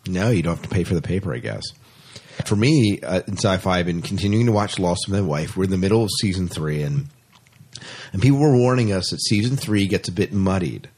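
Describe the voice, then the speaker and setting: A man's voice: deep voice, expressive, fairly quick, a little mellow, slightly rough. A man chatting casually about science fiction as a podcast co-host, often repeating phrases for emphasis.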